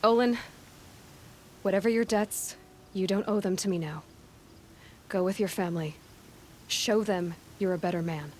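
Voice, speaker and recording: A woman plays a young huntress from a video game, frank and determined, with a dry wit and a compassionate streak. Her voice sits at a middle pitch, with some background noise behind it. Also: moderate pace, pauses often, natural voice. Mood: firm, kind.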